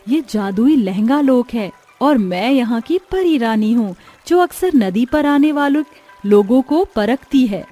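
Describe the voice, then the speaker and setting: A woman's voice: medium pitch, warm tone, close to an everyday voice. A woman voicing a gracious fairy queen in a storybook tale, speaking in a grand, formal and welcoming manner. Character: gracious magical fairy queen of a river world. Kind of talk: dramatised storybook narration.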